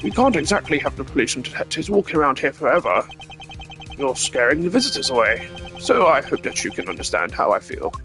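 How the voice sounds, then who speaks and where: A man's voice: medium pitch, big pitch swings, brisk, over music. A man voicing a courteous but imperious older male ambassador in a video game, polite and condescending. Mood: imperious, firm.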